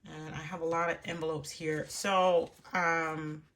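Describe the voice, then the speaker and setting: A woman's voice: low voice, level tone, unhurried. A woman with a stuffy, froggy voice from allergies, chatting casually and rambling a bit as she walks through her budget for viewers at home. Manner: rambling and chatty, with soft laughs and hushed asides. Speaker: budgeting vlogger. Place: room at home.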